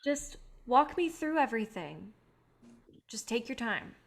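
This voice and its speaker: A woman's voice: fairly high pitch, very animated, measured pace, vocal fry, noisy background, full character voice. A woman acting as a protective, guilt-ridden idealistic filmmaker in a staged play reading, speaking earnestly and pleadingly. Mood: gently coaxing.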